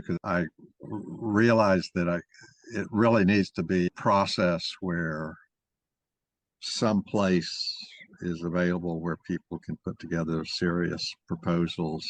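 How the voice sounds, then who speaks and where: A man with a very deep voice leads an informal discussion. He rambles and halts as he thinks aloud. The sound is very dry, with no room around it. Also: fairly even intonation, unhurried, no room sound. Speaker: moderator of an online mock trial.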